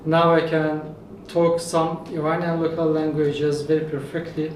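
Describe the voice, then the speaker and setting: A man from Iran whose first language is Azerbaijani Turkish, a professor answering interview questions in a measured way with frequent fillers. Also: fairly high pitch, monotone. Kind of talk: conversational interview. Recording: echoey room, mic at a distance, faint noise.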